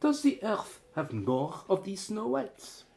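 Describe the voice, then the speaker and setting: A slow-spoken foreign man played by an actor, with lively rises and falls in his voice. He is a wary but courteous castaway in a radio adventure, choosing his words carefully and haltingly. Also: high-pitched, gravelly, full character voice. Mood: reflective. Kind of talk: radio adventure drama acting.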